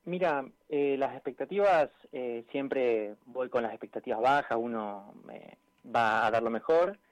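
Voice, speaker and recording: An Argentine man speaking casually as an interview guest over a phone call, often pausing to search for words. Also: mid-range voice, no echo. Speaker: interviewed amateur triathlete. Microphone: phone line.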